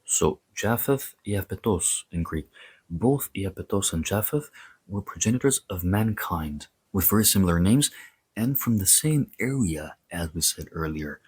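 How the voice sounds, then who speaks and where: Deep, husky-voiced man lecturing. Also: lively intonation, measured pace, a little mellow, dry recording. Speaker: host of a history and mythology series. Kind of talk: scripted lecture with casual asides.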